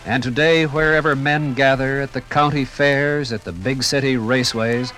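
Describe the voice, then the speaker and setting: A man's voice: mid-range voice, steady tone, normal speed, fairly bright tone, faint music behind, rough recording. A man giving theatrical, rhetorical scripted narration as the narrator of a 1950s radio documentary.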